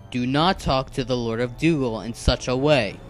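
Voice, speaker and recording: A man playing a dutiful, level-headed assassin in an amateur animated fantasy series, speaking in a measured, formal, firm way. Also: medium pitch, natural voice. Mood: stern. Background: music.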